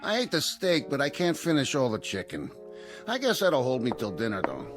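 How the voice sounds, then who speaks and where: Dim, childlike sidekick, lively pitch. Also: male voice, moderate pace, over loud music. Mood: simple, content. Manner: naive, simple, plaintive questioning. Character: slow-witted, childlike, hungry, good-natured male sidekick. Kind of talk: 1960s television drama acting.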